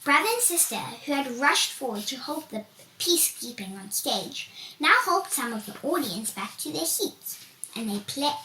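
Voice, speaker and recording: Female story reader, very lively intonation, unhurried, recorded from a distance with faint noise. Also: high voice, echoey room. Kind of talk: scripted children's storybook narration.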